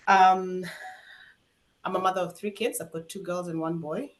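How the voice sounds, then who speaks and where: A woman with a Kenyan accent and a fairly low pitch talks as an interview guest. The style is informal, spoken self-introduction. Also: steady tone, moderate pace.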